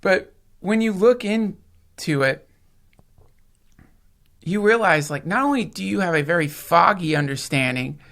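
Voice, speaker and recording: A man's voice: sits high, lively intonation, a little slow, gravelly, pauses often, a bit bright. A man from the United States, a podcast host, rambling in casual chat with profanity and emphatic points. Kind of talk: casual conversation.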